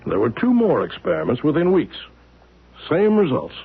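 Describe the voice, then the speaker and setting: A calm, mysterious man in an old radio drama, explaining patiently in a husky voice with lively pitch. The audio is narrow and phone-like, like an old broadcast. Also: normal speed, full character voice. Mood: measured. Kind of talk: 1970s radio drama acting.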